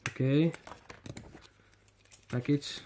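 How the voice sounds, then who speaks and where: A Finnish man vlogging a toy unboxing in halting non-native English, hesitant and repeating his words. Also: on the high side, fairly even intonation, warm tone, a little hiss or hum. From Finland. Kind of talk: casual unboxing commentary.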